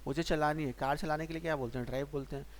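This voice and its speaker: Guy teaching english grammar in hindi, kinda high voice, asks himself questions, slight hiss. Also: fairly even intonation. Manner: patient, repetitive, asks and answers his own questions; slows right down to spell out English sentences. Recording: faint hiss.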